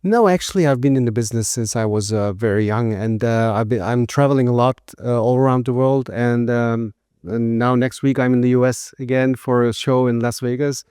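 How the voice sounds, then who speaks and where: A middle-aged man born in Germany, speaking English as a non-native with frequent pauses and fillers. His voice is smooth and resonant. It is recorded close and dry, with no room sound. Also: medium pitch, darkish tone, no room sound. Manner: halting, many uh fillers. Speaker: guest, textile manufacturer. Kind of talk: casual interview answers.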